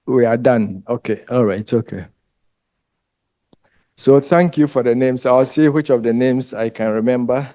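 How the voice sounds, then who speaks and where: A man with a Ghanaian English accent lecturing a group of exam candidates, unhurried and conversational, pausing often with ums. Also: mid-range voice, dark tone, dry recording, clean studio sound.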